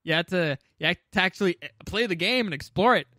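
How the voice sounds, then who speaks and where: A man's voice: high voice, lively intonation, fast, sharp tone, no echo, clean studio sound. A man co-hosting a podcast in casual, informal chat, with some swearing. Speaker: podcast co-host. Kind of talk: casual podcast chat ranking video game movies.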